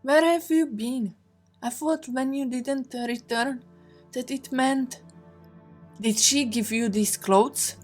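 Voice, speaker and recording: A woman's voice: high-pitched, takes it slowly, faint music behind. A woman playing a proud, disapproving female island captive in an audio drama, speaking reproachfully. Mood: worried.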